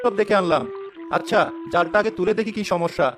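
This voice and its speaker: Casting: male actor for determined rural fisherman in animated folk tale, raised pitch, quick delivery. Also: over music, lightly acted. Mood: concerned. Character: village fisherman, practical, determined family man.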